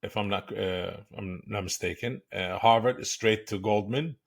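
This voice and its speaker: A middle-aged man hosts a podcast interview over a video call from a home studio. His voice sits low, and he talks in an eager, affirming way. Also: a little slow, vocal fry. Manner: enthusiastic, affirming. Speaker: podcast host.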